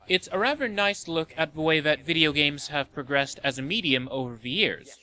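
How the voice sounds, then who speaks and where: A man's voice: a little high, bright, forceful tone, poor audio. A man hosting and narrating a scripted video essay in an opinionated, sardonic manner. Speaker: host of a video game movie list show.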